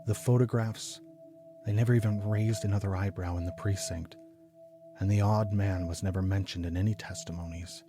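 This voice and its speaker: An actor playing a brooding, obsessive homicide detective, narrating a noir audio drama in a clinical, deliberate manner. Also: male voice, fairly low pitch, steady tone, over music, natural voice. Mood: haunted, brooding. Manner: clinical, deliberate, measured delivery. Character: brooding, obsessive male homicide detective recounting grim cases.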